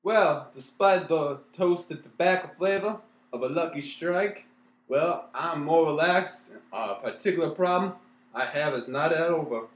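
A man's voice: fairly high pitch, unhurried, lightly acted. A man acting an anxious, desperate 1930s businessman in old-time radio comedy, with a hesitant, stammering delivery. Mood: flustered. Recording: roomy, echoing room sound.

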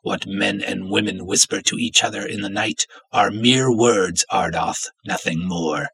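A man voicing a haughty, seductive undead ancient Egyptian princess in a dramatic story reading, cool, hushed and formal. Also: low-pitched, a little slow, creaky, slightly rough, heavily acted, rough recording. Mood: cool, possessive.

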